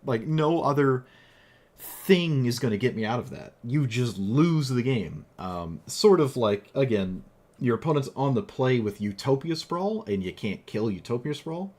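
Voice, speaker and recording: A man's voice: mid-range voice, sing-song, measured pace, darkish tone. A man who is losing his voice after a long stream, talking casually about a card game deck in a rambling way with lots of fillers and restarts. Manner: rambling, with frequent fillers and self-corrections. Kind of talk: casual gameplay commentary.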